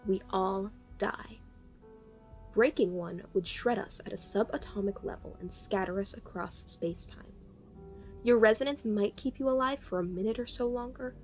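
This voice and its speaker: An exasperated female engineer played by a woman in a sci-fi audio drama. She explains technical matters briskly and with little patience, in a medium-pitched voice over soft music. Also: close to an everyday voice. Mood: grave, urgent. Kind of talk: cinematic audio roleplay acting.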